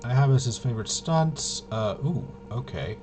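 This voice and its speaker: A man thinking aloud through solo tabletop game commentary, casual and halting, often muttering to himself as he works things out. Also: medium pitch, lively intonation, music in the background. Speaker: tabletop RPG player and presenter.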